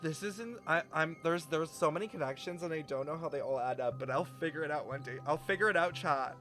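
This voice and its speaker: Higher-pitched male game streamer, music behind. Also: brisk. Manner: animated, puzzled, thinking aloud. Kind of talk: livestream reaction commentary and reading game text aloud.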